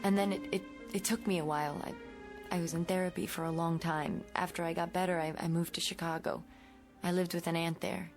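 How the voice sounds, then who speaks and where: An actress playing a polite, troubled schoolteacher, speaking in a halting, guarded way in a mid-century TV drama. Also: female voice, lowish voice, little pitch movement, lightly acted. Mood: troubled, hesitant. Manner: hesitant, halting, courteous. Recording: vintage TV soundtrack with music behind.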